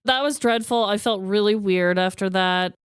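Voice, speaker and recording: A woman's voice: mid-range voice, moderate pace, smooth, no room sound, studio quality. A woman podcast co-host telling stories casually for laughs, dramatic and emphatic, often repeating words for effect. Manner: dramatic, emphatic storyteller who repeats words and checks in with "okay?". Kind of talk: casual comic storytelling.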